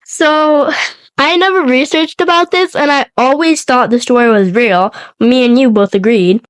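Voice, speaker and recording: A woman's voice: high voice, normal speed, clear, resonant tone, dry recording, rough recording. A young woman, congested from a cold, co-hosting a podcast and telling a story in a partly scripted, partly chatty way. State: sick with a cold. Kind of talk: podcast storytelling, part scripted, part casual chat.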